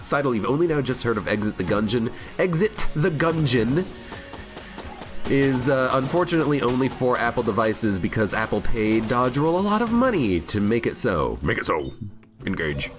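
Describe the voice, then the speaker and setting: A man's voice: mid-range voice, big pitch swings, moderate pace. A man with a cold and a slightly worn voice, rambling cheerfully as he streams himself playing a game. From United States. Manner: rambling, chatty, self-mocking humor. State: has a cold, voice a bit worn. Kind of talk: casual live gameplay commentary. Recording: game music loud under voice. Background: game music.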